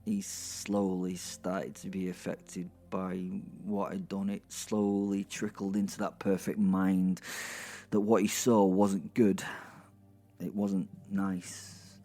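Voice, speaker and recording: A man's voice: deep voice, mellow. A man playing a brash, sardonic werewolf who tells his violent story in a rambling, conversational way with wry asides, in an audio drama. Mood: grave, uneasy. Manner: rambling, conversational, halting for effect, repeating words. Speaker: narrator and main character of an audio drama.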